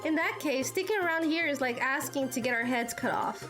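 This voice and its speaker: A woman's voice: sits high, animated, a little fast. A woman streamer doing amateur voice acting of dialogue from video game cutscenes. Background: music.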